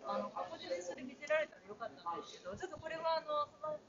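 A woman working as gallery staff politely and haltingly explaining things to a visitor in a large indoor hall. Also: high-pitched, lively intonation, heavy background noise. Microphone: off to the side of visitor's camera. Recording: dull sound, busy crowd. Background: crowd chatter.

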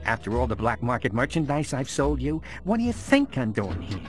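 Guy doing a sleazy wisecracking game arms dealer, middle pitch but really animated. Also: brisk, lightly acted. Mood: sardonic, scheming. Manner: sardonic, wheeling and dealing. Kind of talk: cartoonish video game cutscene acting.

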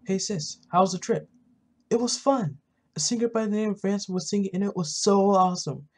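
A gamer voicing an excitable, chatty young sister in a video game roleplay, with gushing delivery. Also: medium pitch, a little fast, voice pushed up, lightly acted. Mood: excited.

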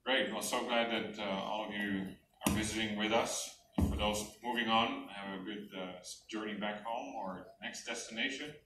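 A middle-aged man speaks informally as the host of a church service. His voice carries through an echoey hall, picked up from a distance with clear background noise. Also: on the low side, fairly even intonation, normal speed. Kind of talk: informal announcements to a congregation. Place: church hall with stage and screen. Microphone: handheld mic, recorded by far camera.

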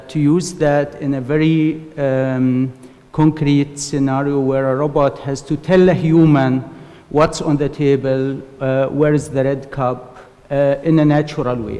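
Flat, halting middle-aged man on a panel. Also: medium pitch. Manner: halting, deliberate. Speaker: academic panelist. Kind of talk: explaining research at a panel discussion. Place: large lecture hall, at a panel table. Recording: reverberant hall sound.

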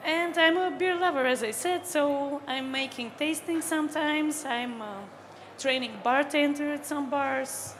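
Woman in her twenties, Bulgarian accent, high pitch, eager, echoey hall. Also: measured pace, a bit bright. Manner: eager, searching for words. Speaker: panelist, brewer. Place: stage in a large hall. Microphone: handheld mic. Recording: hall reverb, faint noise.

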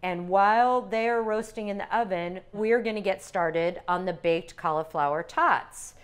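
An older woman calmly demonstrating recipes step by step as a cooking instructor in a teaching kitchen. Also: medium pitch, moderate pace, smooth. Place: home-style teaching kitchen.

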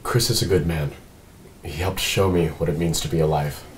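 A man playing a formal, dry-witted android detective, precise and deadpan, in a script read. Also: very deep voice, moderate pace. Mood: calm, dry. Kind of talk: table read of a film script. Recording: distant mic, faint room noise.

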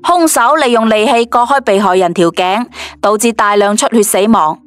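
A woman's voice: medium pitch, moderate pace, close to an everyday voice. A woman playing a formal television news reporter in an audio story. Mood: formal, serious.

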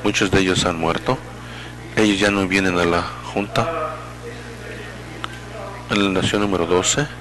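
Very deep-voiced male interpreter. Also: rough recording. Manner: halting, fragmented phrasing with self-corrections. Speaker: simultaneous interpreter. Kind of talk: live interpretation of a public meeting.

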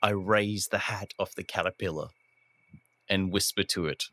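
A man narrates his moves casually in a tabletop roleplaying game. His voice is low and recorded dry, without room echo. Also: fairly even intonation, normal speed, bright, forceful tone.

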